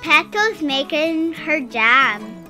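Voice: sits high, extremely slow, music in the background. A narrator telling a homemade farm cartoon in plain, simple words for kids.

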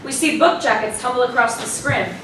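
Female stage-directions narrator, scripted read, heavy room echo, clear background noise. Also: fairly high pitch, brisk. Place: small indoor performance room with audience. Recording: very echoey room, distant mic, noisy.

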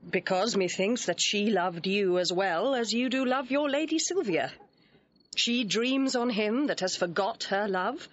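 A lovelorn young woman posing as a page, speaking classical verse for audio drama. Her mid-pitched voice is tender and full of sorrow. The restored old recording is a touch dull. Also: lively intonation, measured pace. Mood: sorrowful, tender.

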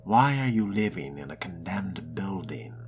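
A wry young vampire voiced by a man roleplaying a game, deep in pitch and slow in pace. He muses aloud with dry, theatrical curiosity. Music plays clearly behind him. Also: lively intonation. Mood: questioning. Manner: musing aloud, dry, theatrical, deliberate phrasing. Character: wry, curious male vampire fledgling on the hunt. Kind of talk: in-character video game roleplay narration.

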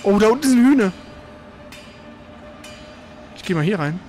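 High-pitched, animated male gamer with background noise. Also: moderate pace. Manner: slangy, exclamatory reactions to the game. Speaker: let's player. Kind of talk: casual gaming commentary while playing.

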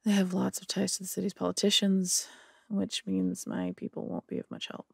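A serious female police detective played by an actress in an audio drama. Her voice is heavy with vocal fry and husky, delivered in a businesslike way. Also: mid-range voice, completely flat delivery, normal speed, darkish tone, no room sound, clean studio sound.

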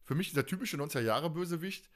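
A man co-hosting a podcast, recapping in a casual, animated, stop-and-start way and often turning to the others. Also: on the high side, fast talker. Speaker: podcast host. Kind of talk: casual fan podcast discussion.

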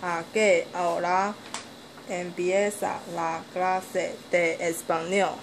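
A woman off camera reading interview questions slowly, with small stumbles. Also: fairly low pitch, noisy background. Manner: slow, flat reading with stumbles.

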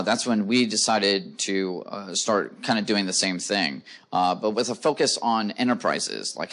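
A man's voice: lowish voice, a bit bright. A man speaking as a guest in an onstage conference interview, explaining in long runs full of "uh" fillers, into a handheld mic. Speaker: guest, company co-founder. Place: conference stage before a live audience.

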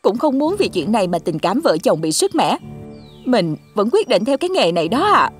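A woman playing an anxious, gentle wife and mother in an animated folk tale, pleading and earnest. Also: medium pitch, moderate pace, natural voice. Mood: sad, reproachful. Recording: music behind the voice.

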